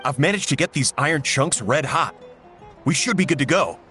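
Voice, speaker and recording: A man's voice: a little high, lively intonation, normal speed, pushed and bright. A man voicing a practical, knowledgeable village chef in a video game, explaining things with confidence. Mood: pleased. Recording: music behind.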